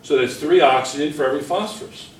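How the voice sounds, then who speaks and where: A man's voice: on the high side, fast, a little hiss or hum. A middle-aged man teaching a chemistry class at the whiteboard, encouraging and quizzing his students as he works through problems. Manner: encouraging, questioning students constantly. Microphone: far camera. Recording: roomy classroom from a distant camera.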